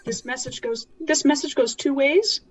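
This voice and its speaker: A woman's voice: sits high, lively intonation, normal speed. A woman chatting casually as a player in a tabletop game.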